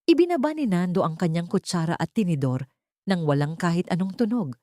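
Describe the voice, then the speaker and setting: Lowish-voiced woman narrating a dramatic story. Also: expressive, a little fast, dark tone, dry recording, studio quality.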